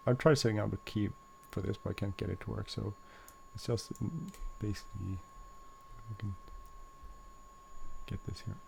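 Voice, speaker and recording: Hesitant low gravelly-voiced man. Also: warm tone, a little hiss or hum. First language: not English. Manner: hesitant, many fillers and self-corrections, chuckles. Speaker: flight sim video host. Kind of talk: casual gameplay walkthrough.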